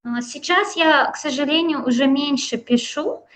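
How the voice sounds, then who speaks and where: A woman from Russia and Iran talking reflectively and in a measured way as a guest in a conversational interview. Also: high voice, fairly bright tone.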